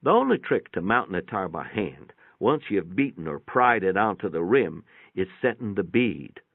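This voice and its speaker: A man's voice: sits low, big pitch swings, moderate pace, gravelly, dry recording, narrow, tinny audio. A man giving a folksy, plainspoken dramatic reading of a first-person story, with a radio-like sound. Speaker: narrator, reader. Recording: band-limited, radio-like sound.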